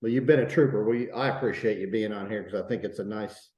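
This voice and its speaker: Easygoing man co-host, low and warm, a bit hesitant, echoey room. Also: fast talker. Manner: easygoing, supportive, hesitant with uhs. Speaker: astrologer co-host. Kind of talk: casual astrology chat.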